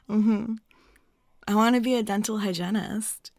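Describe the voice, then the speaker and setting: Woman acting as a cute flirty waitress, giggly n chatty, kinda coy, mid-pitched voice, very dry recording. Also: steady tone, slow pace, a little mellow, dry recording. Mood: shy, giggly. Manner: giggly, chatty, coy, trailing into filler questions. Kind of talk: intimate flirtatious roleplay audio.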